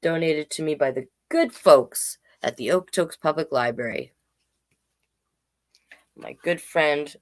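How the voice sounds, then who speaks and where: A woman hosting a children's storytime online, reading deliberately and adding casual, chatty asides. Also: low-pitched, a little mellow. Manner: slow, deliberate read-aloud delivery with chatty asides. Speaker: drag king storytime host.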